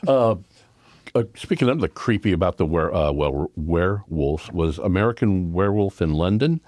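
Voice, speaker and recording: A man on a community radio show talking about horror films, halting and restarting as he thinks. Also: sits low, animated, a little mellow, no echo, clean studio sound. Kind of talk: casual radio chat.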